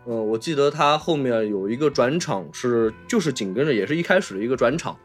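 A man's voice: mid-range voice, expressive, a little fast, slightly rough, faint music behind. A man with a Mainland Chinese Mandarin accent hosting a casual film discussion, explaining and rephrasing his points as he goes. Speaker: podcast host.

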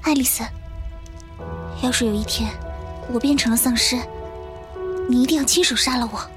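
A woman performs a sorrowful survivor in an audio drama. Her voice is high, with an earnest, plaintive delivery. Music plays clearly behind it. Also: normal speed, lightly acted. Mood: melancholy, earnest. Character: sorrowful, fearful woman among zombie survivors. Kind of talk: Chinese audio drama acting.

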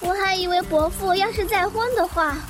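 Woman acting very high-pitched cheeky young niece. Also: a little fast. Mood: cheeky, provocative. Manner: flippant, provocative. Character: cheeky, brash young niece in rich family. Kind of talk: audio drama acting. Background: music and clinking glasses.

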